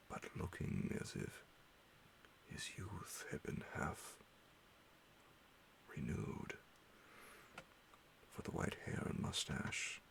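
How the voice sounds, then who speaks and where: A man reading a horror bedtime story in ASMR style, hushed and half-whispered, breathy and gravelly, with dramatic pauses. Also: rumbling low, slight background noise. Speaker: audiobook narrator.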